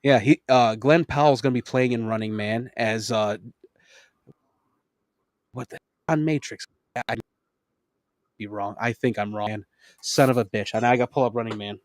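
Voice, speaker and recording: A man in the middle of a loose podcast chat, excitable and quick to break into laughter. He swears freely and his pitch sits mid-range. The sound is dry and close, with no room echo. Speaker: podcast co-host. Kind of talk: casual chat.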